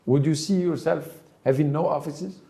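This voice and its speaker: A man conducting an interview, probing and quick to push back or cut in. His voice is slightly higher than most and mellow in tone. The room leaves a noticeable echo on it. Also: vocal fry. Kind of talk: studio interview. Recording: roomy echo.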